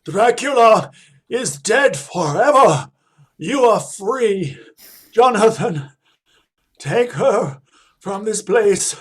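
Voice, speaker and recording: Man playing a high-pitched, solemn vampire hunter. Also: lively intonation, extremely slow, pauses often, breathy edge, full character voice. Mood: solemn, reassuring. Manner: solemn, declamatory. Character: stern, righteous professor and vampire hunter. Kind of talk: old-time radio play acting.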